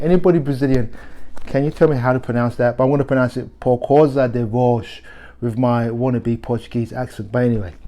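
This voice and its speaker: A man's voice: medium pitch, mellow. A middle-aged man hosting a record shop's video series, talking casually about favourite albums with frequent restarts and fillers. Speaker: record shop host. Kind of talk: casual music recommendations to camera.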